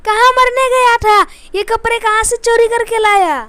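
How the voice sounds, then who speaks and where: Woman as a shrill, very high-pitched cartoon stepmother. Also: steady tone, normal speed, sharp tone, dry recording, clean studio sound. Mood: angry. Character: cruel, scolding village stepmother. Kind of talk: Hindi cartoon story voice acting. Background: music.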